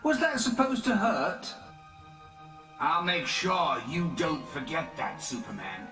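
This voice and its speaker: A man's voice: on the high side, huge pitch swings, heavily acted. A man playing a vengeful, taunting machine villain in a video game. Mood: menacing taunts. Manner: slow, taunting, menacing. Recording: echoey, distant, music underneath.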